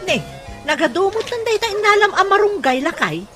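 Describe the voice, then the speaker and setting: High, excitable Ilocano village wife played by an actress. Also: female voice, brisk, loud music behind the voice. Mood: questioning. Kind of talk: comedy radio drama acting. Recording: noisy track with animal sounds.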